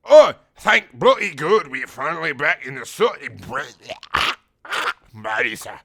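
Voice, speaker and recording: A man voicing an excitable, foul-mouthed little robot sidekick in a comic fan fiction reading. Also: on the high side, unhurried, pitched above the performer's own voice, full character voice. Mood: relieved.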